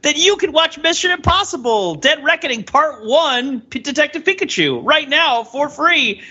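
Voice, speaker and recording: A man's voice: very high voice, animated, normal speed, sharp tone, muffled recording. A man co-hosting a podcast, trading casual, jokey and playful banter. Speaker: podcast co-host.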